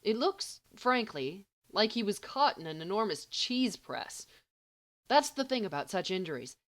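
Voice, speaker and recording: A woman reading a story as scripted narration, expressively and with deliberate, emphatic phrasing. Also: mid-range voice, big pitch swings, fairly bright tone. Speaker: audiobook reader of fan fiction.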